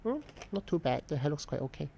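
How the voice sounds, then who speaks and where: Man, 40s; slightly higher-pitched, relaxed live-stream artist, thoughtful with many pauses. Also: vocal fry, a little hiss or hum. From Hong Kong. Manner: unhurried, thoughtful, pauses often with "um". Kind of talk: casual live-stream chat while drawing, answering viewer questions.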